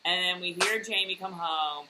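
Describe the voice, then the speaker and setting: A woman's voice: on the low side, moderate pace, noisy background. A woman co-hosting a casual recap chat, talking loosely with lots of fillers and frequent laughter. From United States. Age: adult, worked in high school and college years ago. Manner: chatty, laughs often, many fillers. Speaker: podcast co-host.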